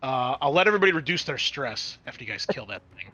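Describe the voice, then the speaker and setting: A man's voice: mid-range voice, sing-song, quick pace, pushed and bright, poor audio. A man acting as game master, narrating a tabletop role-playing game and talking players through the rules.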